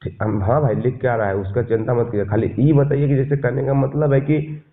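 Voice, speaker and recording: A male interviewer with a mid-pitched voice and a Jharkhandi Hindi accent. He questions and restates points to make them clear. The recording is a muffled, lo-fi phone call. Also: steady tone, fairly quick. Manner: repeats and clarifies points for listeners. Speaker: interviewer, journalist.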